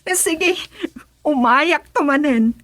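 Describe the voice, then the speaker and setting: A woman's voice: high-pitched, animated, takes it slowly, a bit bright, no room sound, full character voice. A Filipino woman playing a cheerful, polite visiting lady in a radio comedy drama.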